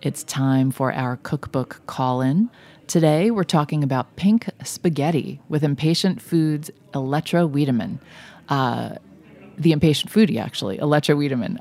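A woman's voice: low voice, darkish tone. A woman hosting a radio cooking show, chatting casually with a guest while losing her voice. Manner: chatty, friendly interviewer with fillers and restarts.

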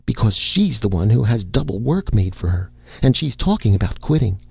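Guy putting on a flustered rich wife, higher voice, rushed and fretful, gravelly underneath, dull old recording. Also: sing-song, no echo, full character voice. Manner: hurried, fretful. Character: anxious upper-class wife, middle-aged.

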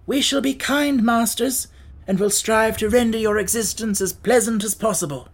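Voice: mid-range voice, normal speed, voice pushed up, close to an everyday voice. A storybook narrator voicing a kindly, self-assured living scarecrow who encourages others. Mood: kindly, encouraging. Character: kindly, proud living scarecrow, cheerful leader.

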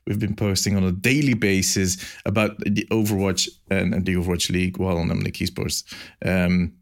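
A man's voice: deep voice, level tone, fairly quick, gravelly. A man with a Belgian accent hosting a casual gaming podcast, rambling and laughing at himself. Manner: rambling, self-mocking, laughs often. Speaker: podcast host.

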